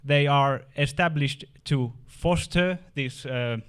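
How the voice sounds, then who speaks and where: Man, meetup host, halting delivery, plain mid pitch. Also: fairly bright tone, clean tone. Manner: hesitant, many restarts and fillers. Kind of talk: introducing a speaker at a meetup.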